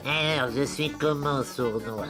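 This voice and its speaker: Un homme qui joue un gobelin farceur de dessin animé, grognon et boudeur. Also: male voice, mid-range voice, unhurried, natural voice. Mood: sulky. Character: grumpy, sulky goblin mischief-maker. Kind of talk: cartoon dub acting. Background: loud music.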